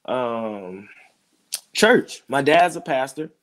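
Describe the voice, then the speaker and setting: A relaxed man in his thirties, speaking as a music producer being interviewed. He talks in a loose, rambling way, often circling back to check in with the listener. His voice sits at an ordinary pitch. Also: very slow. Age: 30s. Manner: laid-back, rambling, keeps saying "you know what I'm saying". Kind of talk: casual interview talk.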